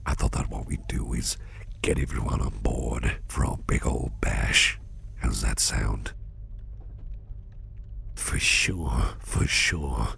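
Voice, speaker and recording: An extremely low, crackling male voice acted by a man plays a kindly boss in an ASMR roleplay. He speaks slowly in a gentle, breathy half-whisper, reassuring and doting. There is faint noise behind him. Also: exaggerated voice. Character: kindly, reassuring businessman boss looking after a valued employee. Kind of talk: soft-spoken ASMR roleplay.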